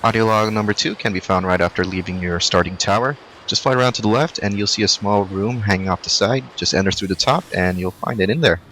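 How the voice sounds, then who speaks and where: A man narrating a game walkthrough voice-over, rattling off directions at a fast clip. His voice sits fairly low but sounds bright and forward, and he stays brisk and matter-of-fact. A faint noise sits underneath. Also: poor audio.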